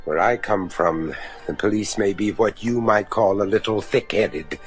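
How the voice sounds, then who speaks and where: A man acting in a gothic radio drama as a stern, anxious American father and judge, deliberate and formal. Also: on the low side, faint music behind, close to an everyday voice. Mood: stubborn, defiant. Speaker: actor.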